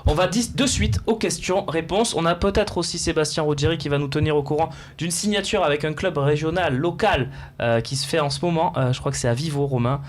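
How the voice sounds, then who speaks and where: Male host, fairly high voice, lively, faint studio room hum. brief. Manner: lively, prompting guests, quick interjections. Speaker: talk show host. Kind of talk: hosting a football talk show.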